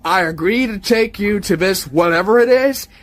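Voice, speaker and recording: A man voicing a brash young alien mechanic with a casual, cocky, excitable manner. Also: high voice, moderate pace. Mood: annoyed, eager.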